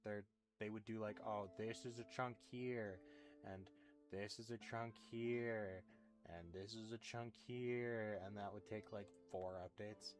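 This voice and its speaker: Slow, rambling man streaming a game. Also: on the low side, steady tone, faint music behind. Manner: rambling, bemused reactions. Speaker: streamer playing a video game. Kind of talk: casual gameplay commentary.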